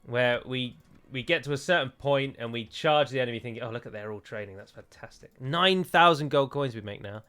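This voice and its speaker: Let's play host: man, mid-pitched, chatty, wry and self-deprecating. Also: fairly quick, fairly bright tone, smooth. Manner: chatty, self-mocking, jokey asides. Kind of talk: casual gameplay commentary.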